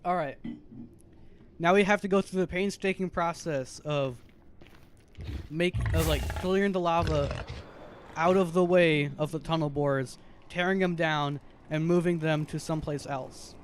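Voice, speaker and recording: A man with a very high voice giving casual, chatty live stream commentary as he plays a video game. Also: measured pace, a little hiss or hum. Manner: chatty, self-narrating while playing, easily animated.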